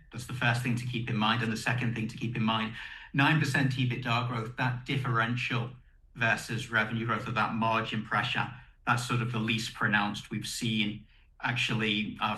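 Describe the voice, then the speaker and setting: An echoey conference call recording carries a man's fairly low, bright voice. He speaks as a company executive presenting results. His delivery is methodical and explanatory, setting out one point after another with a firm, forceful tone.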